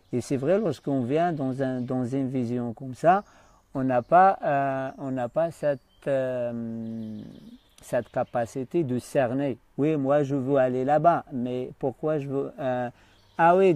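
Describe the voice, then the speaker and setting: A man speaks French as an on a coaching live stream. His mellow, dark voice is recorded close and dry. He talks unscripted and often pauses to hunt for the right word. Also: medium pitch, no echo. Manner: hesitant, often searching for words.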